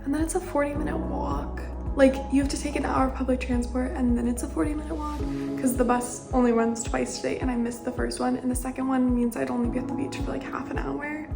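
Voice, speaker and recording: Young woman vlogger, high gravelly voice, chatty and upbeat, loud music bed. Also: fairly even intonation, quick pace, few pauses. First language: not French. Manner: chatty and upbeat, many "like" fillers. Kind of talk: casual vlog narration.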